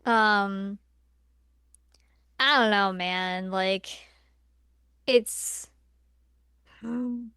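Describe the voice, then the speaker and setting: A woman's voice: mid-range voice, steady tone, very slow, pauses often, pushed and bright, smooth, no echo, clean studio sound. A woman co-hosting a podcast, chatting casually about films in a blunt, exasperated, colloquial way. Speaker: podcast co-host. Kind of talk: casual opinionated film discussion.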